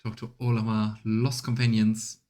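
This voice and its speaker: A man's voice: fairly low pitch, little pitch movement, moderate pace, mellow. A man casually commentating on a video game, musing aloud and chatting with his viewers as he plays. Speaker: streamer doing a voiced let's play.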